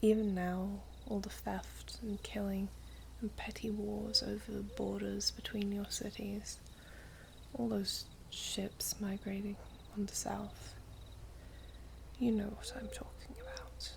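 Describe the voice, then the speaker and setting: A woman playing a gentle, wistful elven princess in an ASMR roleplay, speaking in a breathy, hushed voice with formal storybook phrasing. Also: mid-range voice, expressive, slow, a little hiss or hum. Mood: solemn, reproachful. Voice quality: breathy, whispery. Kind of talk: ASMR roleplay, soft whispery close speech.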